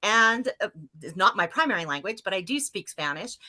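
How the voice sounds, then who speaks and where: A woman's voice: medium pitch, sing-song, brisk, sharp tone. An older woman telling her story as a guest over a video call, earnest and hesitant. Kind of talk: casual video-call interview.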